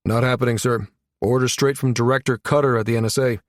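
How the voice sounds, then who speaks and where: A composed military executive officer, voiced by a male narrator in a science fiction audiobook. He is courteous and smooth but clearly in command, recorded very dry. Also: medium pitch, fairly even intonation, fast talker, no room sound, lightly acted. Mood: firm. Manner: smooth, polite but authoritative.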